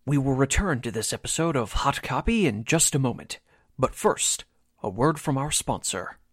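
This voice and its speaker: A man's voice: medium pitch, animated, normal speed, slightly rough. A man narrating an old-time radio mystery as its announcer, in a scripted style.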